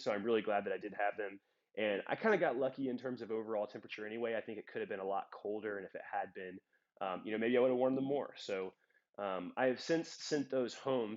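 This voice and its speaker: Stuffed-up guy rambling on a lo-fi phone. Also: fairly low pitch. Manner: relaxed, rambling with many ums. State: slightly stuffed up. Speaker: hiking vlogger. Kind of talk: casual gear talk to camera. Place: in a trail town. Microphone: phone on a trekking-pole clip.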